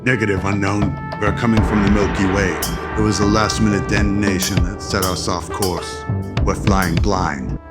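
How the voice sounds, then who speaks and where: A man's voice: low voice, steady tone, normal speed. A man giving scripted dramatic narration in the style of an old radio drama. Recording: loud music under the voice. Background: background music.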